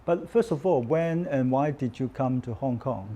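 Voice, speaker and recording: A male interviewer with a mellow, middle-pitched voice. He introduces the episode and then puts polite questions to his guest, sometimes stumbling and restarting mid-sentence. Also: a little hiss or hum. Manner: polite, slightly halting questions. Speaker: interviewer and host. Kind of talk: scripted narration and interview questions.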